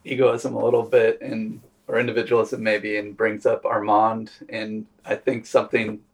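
Casting: man, off-mic and distant, flat intonation, thoughtful and halting panelist in informal talk. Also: lowish voice. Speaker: podcast panelist. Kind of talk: casual discussion.

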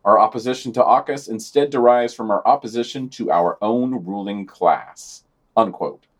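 A man's voice: lowish voice, moderate pace. A man co-hosting a podcast, reading scripted news items with dry, sardonic asides.

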